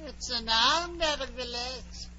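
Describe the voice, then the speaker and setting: An actor playing a simple, stubborn but deferential Irish gardener with an Irish accent in a 1940s radio drama. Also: male voice, very high-pitched, slow pace, sharp tone, heavily acted. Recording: dull, hissy 1940s radio recording.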